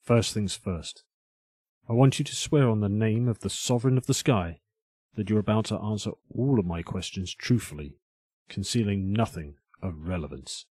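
A man playing a stern, probing older inspector in an audio drama, with a husky voice and a measured, formal, authoritative way of questioning. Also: fairly low pitch, a little mellow, no echo, lightly acted. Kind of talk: dramatic interrogation dialogue.

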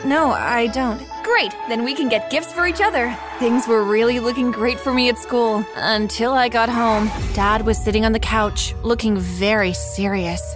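A woman's voice: a little high, moderate pace, hardly any pauses, over music, natural voice. A woman playing an earnest, defiant teenage schoolgirl who narrates her own story and acts out her dialogue. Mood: anxious. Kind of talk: first-person story narration with acted dialogue.

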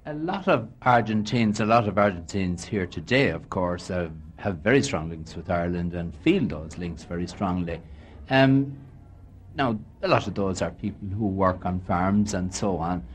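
A man conducting a radio interview, asking gently probing questions. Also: sits low, animated, normal speed.

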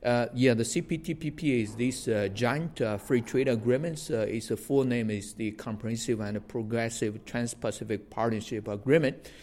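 A man's voice: mid-range voice, normal speed, hardly any pauses, darkish tone, slight background noise. A man from China, an academic and government advisor, answering interview questions with frequent hesitations and filler sounds.

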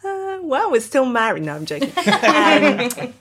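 A woman's voice: sits high, wildly swooping pitch, unhurried, fairly bright tone, husky. A middle-aged woman chatting casually as a podcast guest who produces and directs. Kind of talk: casual chat.

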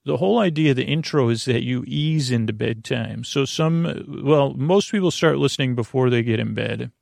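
Creaky-voiced man rambling gently through a monologue. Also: medium pitch, no echo, clean studio sound. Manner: halting, digressive, trails off and circles back. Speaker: podcast host. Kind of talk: rambling, meandering bedtime monologue.

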